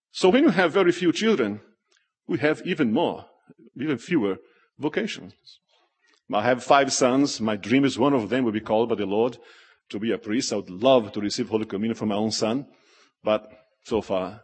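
Lively middle-aged man in a reverberant hall. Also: medium pitch, slightly rough. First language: Portuguese. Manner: animated, joking storyteller. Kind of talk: public talk to an audience.

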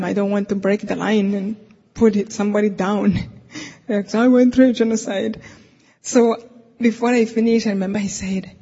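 Gravelly Rwandan woman in a hall. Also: medium pitch. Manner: earnest, laughs often, hushed intimate delivery. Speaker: speaker. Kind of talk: faith testimony talk. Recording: lo-fi recording in a reverberant hall.

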